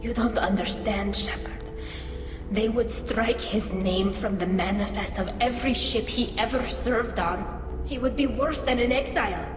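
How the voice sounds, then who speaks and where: A woman's voice: a little high, a little slow. A woman voicing an earnest, emotional young female alien engineer in a video game, with a helmet speaker filter. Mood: anguished, pleading. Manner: earnest, imploring. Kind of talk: dramatic video game voice acting. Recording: echoey reverberant hall, music behind.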